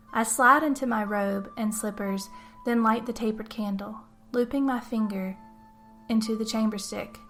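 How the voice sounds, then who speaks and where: A woman's voice: medium pitch, fairly bright tone. A woman narrating a scripted story in the first person as a podcast host and storyteller.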